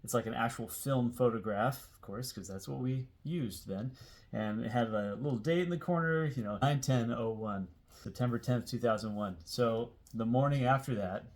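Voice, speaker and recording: A man's voice: medium pitch, normal speed. Casual, rambling American man telling a story. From Seattle area, United States. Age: 40s. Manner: informal, rambling, emphatic on key words. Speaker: host telling a personal story.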